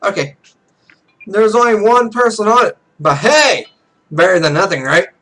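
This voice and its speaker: A cocky young male esports pro played by a man in a visual novel game. The voice is high and animated, telling things in a wry, joking storyteller's way. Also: moderate pace. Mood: playful.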